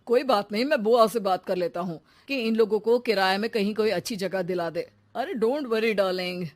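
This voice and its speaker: A woman's voice: mid-range voice, moderate pace, pitched below the performer's own voice, close to an everyday voice. A woman voicing an easygoing young husband in a cartoon story. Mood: reassuring. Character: easygoing young husband, reassuring family man.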